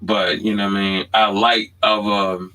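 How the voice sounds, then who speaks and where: A man's voice: fairly low pitch, level tone, moderate pace, bright, forceful tone. A man with a Baltimore accent chatting casually as a podcast co-host, often checking in with "you know what I mean". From Baltimore, United States. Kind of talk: casual unscripted chat.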